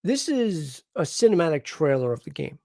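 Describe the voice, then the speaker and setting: A man's voice: fairly high pitch, moderate pace, creaky, no room sound, clean studio sound. A man hosting a casual live reaction chat, talking in a rambling way with lots of restarts. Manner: rambling, restarts often, animated. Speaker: host.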